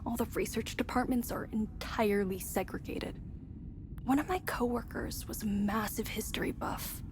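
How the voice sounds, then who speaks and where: An actress playing a chatty, wry military research scientist in a video game, explaining things in a measured, conversational way. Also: female voice, mid-range voice, gravelly, noisy background, natural voice. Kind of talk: scripted game dialogue, conversational exposition.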